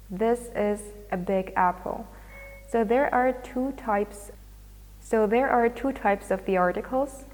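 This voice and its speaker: A woman's voice: medium pitch, a little mellow. Woman teaching English in a reverberant room. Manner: explains slowly, reads examples aloud. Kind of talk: recorded grammar lesson.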